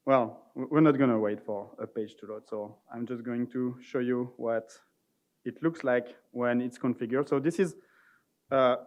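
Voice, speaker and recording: A man giving an informal, somewhat halting live product demo as a conference presenter. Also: medium pitch, darkish tone, dull audio.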